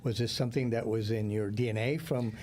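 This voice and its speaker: A male host with a dark, mellow tone asks encouraging, leading questions to steer the conversation. A faint hiss of noise sits underneath the recording. His pitch stays in an ordinary middle range. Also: steady tone, brisk. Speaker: interviewer, host. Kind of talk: conversational interview.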